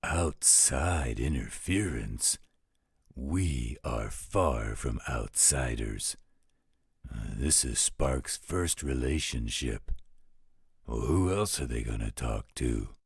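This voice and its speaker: A man playing a gruff, grumbling, overprotective werewolf guardian with a gravelly, husky voice in a roleplay audio drama. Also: rumbling low, lively intonation, dry recording, studio quality, heavily acted. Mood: protective, disgruntled. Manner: slow, grumbling, disapproving.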